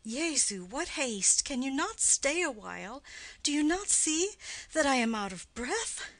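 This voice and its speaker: A woman performs an old nursemaid from a classical play, weary and full of grumbles. Her high voice exclaims and teases at an unhurried pace, recorded dry and close. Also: sing-song, a bit bright, dry recording, exaggerated voice. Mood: weary, complaining. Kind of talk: classical stage play reading.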